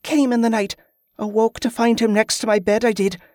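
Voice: mid-range voice, little pitch movement, moderate pace, a little mellow, no room sound, clean studio sound, voice pushed up, close to an everyday voice. A performer voicing a defiant, earnest young farm girl in an audiobook, with fervent rustic phrasing. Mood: fervent, awed.

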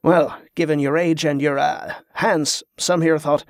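A man's voice: on the high side, fairly even intonation, a little slow, no room sound, clean studio sound. Man voicing a formal sailing-ship captain. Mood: awkward. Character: male ship's captain, formal, awkward but commanding.

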